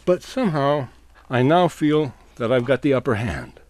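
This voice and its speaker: A man delivering a wry scripted comic monologue with deliberate, dramatic pauses. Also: mid-range voice, expressive, darkish tone, no room sound. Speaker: storyteller.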